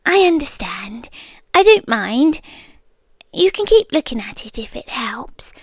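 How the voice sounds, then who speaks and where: A young British woman voicing an obsessive, doting girlfriend in an ASMR roleplay, speaking softly and sliding into close whispers. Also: high voice, big pitch swings, a little slow, husky, dry recording, heavily acted. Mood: calm doting. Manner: soft-spoken, drifting into whispers, gently unsettling.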